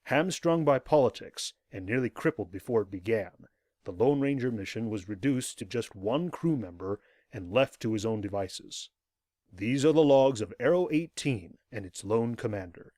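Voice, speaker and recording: A man narrating the scripted prologue of an audio drama in a solemn, formal, measured way. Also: mid-range voice, animated, darkish tone, no echo, studio quality.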